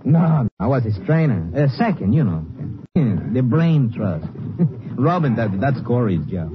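An actor playing a chatty, evasive boxing trainer, excitable and repetitive, in slangy broken English, in a 1950s radio drama. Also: male voice, mid-range voice, measured pace. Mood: affable. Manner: excitable, repetitive, nervously affable. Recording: muffled, noisy old radio recording.

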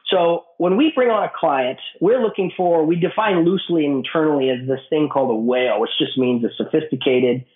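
A man with a cold, his voice raspy and hoarse, answering interview questions at length with many restarts. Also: fairly high pitch, fairly quick, hardly any pauses. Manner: explanatory, with frequent restarts and fillers. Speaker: guest, business owner. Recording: tinny phone-like line in an echoey room.

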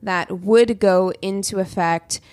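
A woman's voice: medium pitch, takes it slowly, a little mellow, dry recording. Thirties woman from missouri, podcast cohost chatting, dead dry close mic. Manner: chatty, self-interrupting, reads news items aloud. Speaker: podcast co-host. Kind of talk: casual podcast chat.

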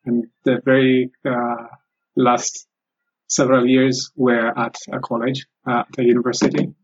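A man with an Ethiopian accent and a slightly husky voice, a native Amharic speaker. He talks carefully and haltingly, stopping often to find his words, as an interview guest. The recording sounds dull and lo-fi. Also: medium pitch, little pitch movement. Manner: halting, careful, many pauses. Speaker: guest, former English teacher. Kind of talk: interview answers.

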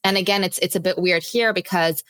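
A woman in her thirties from Singapore with a slightly American accent, hosting a podcast in a chatty, animated way and laughing often. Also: lowish voice, fairly quick, no echo, studio quality. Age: 30s. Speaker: podcast host. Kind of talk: casual interview chat.

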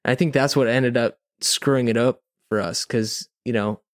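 Man, podcast co-host, casual storyteller, rambling and informal, medium pitch, dry sound. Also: fairly even intonation, moderate pace, no room sound. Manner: informal, rambling, heavy use of "like" and "you know".

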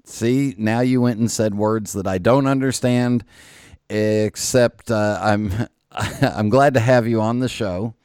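A man's voice: lowish voice, takes it slowly, a little mellow, clean tone, dry recording, studio quality. A man from the southern United States hosting a podcast, joking and laughing easily as he chats with guests. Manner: joking, self-deprecating, laughs often. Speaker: podcast host. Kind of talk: casual interview chat.

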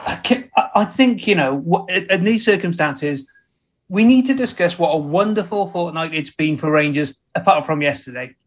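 A man's voice: a little high, animated, moderate pace. A man co-hosting a casual football podcast, teasing and joking with his co-host and laughing often. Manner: teasing, jokey, laughs a lot.

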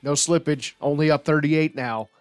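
A man's voice: on the high side, moderate pace, clean tone, no room sound. A man hosting a sports podcast in a casual, joking, teasing way. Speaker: podcast host.

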